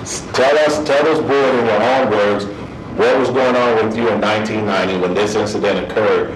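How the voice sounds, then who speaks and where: Deep-voiced man chairing a hearing, distant and echoey. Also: fairly even intonation, noisy background. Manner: procedural, halting, repeats himself. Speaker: parole board hearing chair. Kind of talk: formal hearing questioning, unscripted.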